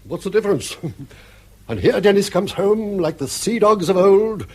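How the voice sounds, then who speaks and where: A man's voice: high voice, expressive, moderate pace. An actor playing a blunt, sardonic old British father in a radio drama, blustering, mocking and given to exclamation. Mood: grumbling, mocking.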